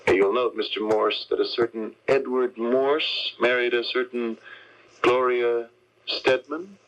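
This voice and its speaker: An actor playing a formal, businesslike police detective in a 1970s radio drama. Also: male voice, mid-range voice, fairly even intonation, takes it slowly, a bit bright. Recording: dull 1979 AM radio recording.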